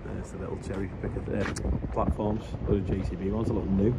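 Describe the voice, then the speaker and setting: A man's voice: lowish voice, level tone, brisk, very noisy. A man with an English accent chatting casually as he walks around an outdoor machinery auction yard, making offhand remarks. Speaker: farm vlogger. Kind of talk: casual vlog commentary.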